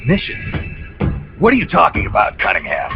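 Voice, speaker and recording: A defiant soldier hero in a video game, terse and intense with very animated pitch. The sound is muffled, with very loud music behind him. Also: male voice, moderate pace, gravelly, full character voice. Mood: incredulous. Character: tough, defiant male soldier hero, incredulous and loyal. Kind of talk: dramatic video game cutscene acting.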